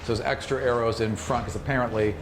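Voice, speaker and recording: A man improvising narration as game master of a tabletop fantasy game, casual and animated, acting out sound effects and reactions. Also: mid-range voice, fast talker. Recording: clearly noisy recording.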